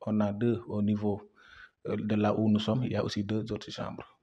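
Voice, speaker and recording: A man's voice: fairly low pitch, monotone, moderate pace, dark tone. A young man from Senegal, a history student, giving a guided museum tour, hesitant and full of fillers and repeated words. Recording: dull lo-fi sound.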